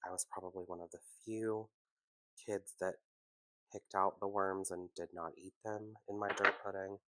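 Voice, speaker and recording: A man hosting a casual home baking tutorial, chatty and relaxed, with a congested, sniffly, nasal voice from a cold. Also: deep voice, normal speed, poor audio. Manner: chatty, relaxed, self-deprecating asides.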